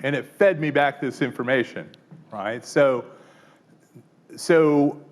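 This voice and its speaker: A man presenting a live teaching workshop, talking casually with frequent fillers and quick "right?" check-ins to the room. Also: medium pitch. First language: English. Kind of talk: live tech workshop demo.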